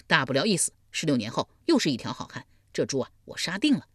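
A woman voicing a kind-hearted, earnest young nobleman who speaks gently. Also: sits low, very animated, fast talker, breathy edge, no room sound, clean studio sound, heavily acted. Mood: resolute.

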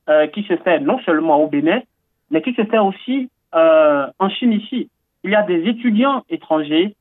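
A man's voice: high voice, dry recording. A man from Benin interviewed on the radio, talking earnestly and eagerly, with many hesitations and repeated words. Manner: earnest, enthusiastic, with frequent fillers and repetitions. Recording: tinny phone-like line, dry.

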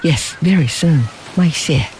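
A reader voicing a troubled young husband in a storybook, speaking in a low, subdued murmur. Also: unhurried, a little mellow, slight background noise, lightly acted, poor audio.

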